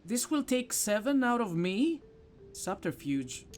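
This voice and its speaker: Higher-pitched, lively male gamer. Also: unhurried, faint music behind. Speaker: gamer commentating on playthrough. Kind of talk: casual game commentary.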